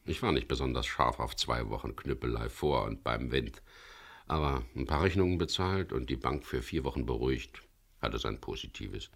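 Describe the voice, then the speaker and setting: An actor playing a wry, world-weary middle-aged English skipper who narrates a radio crime drama in a gravelly, laconic voice. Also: male voice, rumbling low, moderate pace, full character voice. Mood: dry, reflective. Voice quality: gravelly, husky. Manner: laconic, dry, ironic.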